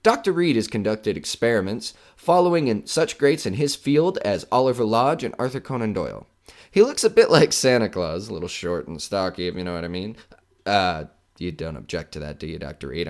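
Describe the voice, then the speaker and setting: A man's voice: on the low side, expressive, brisk. A man playing a chatty radio host who hunts ghosts, folksy and showman-like, with jittery bravado in an old-time broadcast style. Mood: chatty, genial. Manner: folksy, showman-like, nervously jokey. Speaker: radio host in an audio drama.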